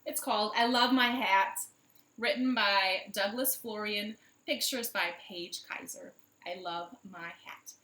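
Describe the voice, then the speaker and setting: Woman, children's storytime host, bright forceful voice, expressive, simple phrasing, echoey living room. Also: mid-range voice, unhurried. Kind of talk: storytelling and action songs for young children. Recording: roomy, echoey home recording.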